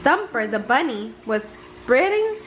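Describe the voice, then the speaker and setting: A woman reads a children's bedtime story aloud expressively, with some halting slips. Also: high voice, very animated, fairly bright tone, soft background music. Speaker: storybook reader.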